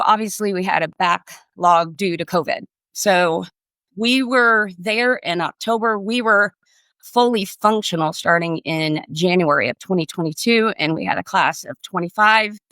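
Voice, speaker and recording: A woman's voice: mid-range voice, moderate pace, a bit bright, no room sound. A middle-aged woman speaking as an instructor, explaining things casually in an interview. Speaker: air traffic control instructor.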